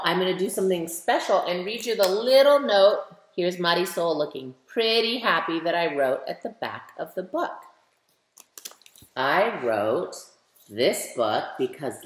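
Expressive fifty-year-old woman reading to children. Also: lowish voice. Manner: expressive, emphatic, addressing children with questions. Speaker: children's author reading aloud. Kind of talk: animated picture-book read-aloud with asides to young listeners. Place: living room at home, indoors. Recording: roomy indoor webcam echo.

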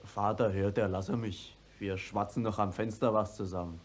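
A man's voice: fairly low pitch, steady tone, moderate pace, slight background noise, natural voice. An actor playing a stubborn, plain-spoken young peasant who tells his story at length in a radio play. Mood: earnest storytelling. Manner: rustic, stubborn, long-winded storytelling. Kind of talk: classic German stage radio drama acting.

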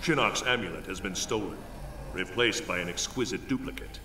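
Solemn guardian god played by a man for a fighting game: low, grave, wise and measured. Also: background noise, close to an everyday voice. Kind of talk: video game cutscene acting.

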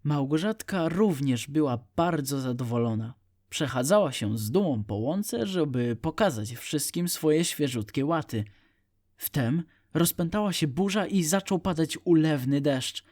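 A man reads a children's story as an audiobook narrator. His voice sits a little higher than most men's as he delivers the scripted tale. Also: animated, a little slow, a little mellow, dry recording, clean studio sound.

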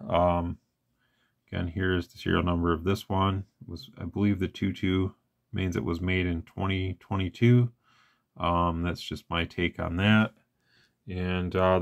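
A man's voice: sits low, gravelly. A man hosting a casual hands-on radio gear demo, talking in a plainspoken, informal way. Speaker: radio review host. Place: indoors at an equipment bench.

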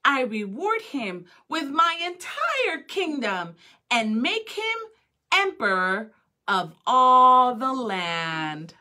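A woman voicing a grand, solemn male emperor from a storybook in a loud, slow proclamation. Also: sits high, sing-song, full character voice. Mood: admiring, grand.

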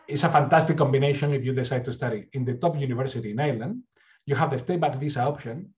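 A middle-aged man with a Spanish accent presents earnestly over a webcam call, often restarting and repeating words. His voice sits at an ordinary pitch. The audio is a little dull and lo-fi. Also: darkish tone. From Spain. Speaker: university recruitment speaker. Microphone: webcam on a video call.

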